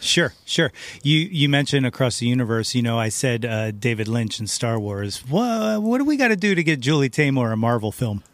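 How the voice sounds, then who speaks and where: A man hosting a casual podcast interview, conversational and agreeable, with a halting way of phrasing things. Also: mid-range voice, very animated, dry recording. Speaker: podcast host.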